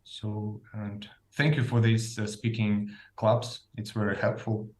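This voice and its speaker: A man's voice: on the low side, flat delivery, a little slow, darkish tone. A man from Russia with a Russian accent, a native Russian speaker, talking hesitantly about himself and searching for words. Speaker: club participant, learner. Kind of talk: casual talk about himself.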